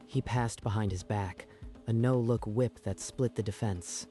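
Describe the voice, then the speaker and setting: A man's voice: fairly low pitch, unhurried, warm tone, faint music behind. A man narrating a dramatic story from a script in a storytelling style.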